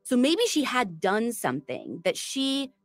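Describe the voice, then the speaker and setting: A woman's voice: a little high, big pitch swings, unhurried, no echo, faint music behind. A woman hosting a true crime podcast, retelling a case in a chatty, storytelling way. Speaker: podcast host.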